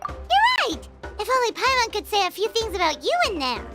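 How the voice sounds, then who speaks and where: A woman voicing a tiny, excitable, childlike fairy companion in a video game, with an eager, expressive way of talking. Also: extremely high pitch, fairly quick, loud music behind the voice, exaggerated voice. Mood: curious, excited. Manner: refers to self in third person, eager, expressive.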